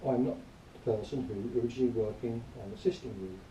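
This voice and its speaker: Dark-voiced man, distant in an echoey room. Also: mid-range voice, fairly even intonation, quick pace. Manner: rambling, matter-of-fact grumbling. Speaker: product reviewer. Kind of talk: informal spoken review, partly reading aloud. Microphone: camera at a distance. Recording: distant quiet mic, roomy echo, faint noise.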